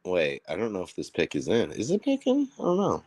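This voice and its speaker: A man with an Indiana accent hosting a casual livestream, talking in a rambling, profane, excitable way. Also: low-pitched, a little fast, dry recording. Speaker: livestream host.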